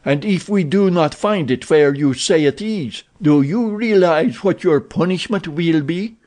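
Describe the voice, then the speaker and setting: A man voicing a scornful, sneering male captor who speaks slowly and loudly. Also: a little high, animated, voice pushed up. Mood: wary.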